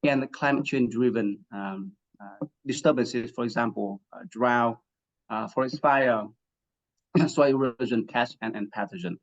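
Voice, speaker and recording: A man from Vietnam giving an academic webinar talk, halting with many fillers and restarts and frequent throat clearing. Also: medium pitch, lo-fi sound. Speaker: guest speaker, assistant professor.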